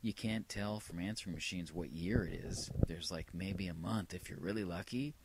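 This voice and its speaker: Very deep-voiced man, rambling casually. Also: normal speed, noisy background. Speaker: vlogger playing old answering machine tapes. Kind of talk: casual rambling personal narration.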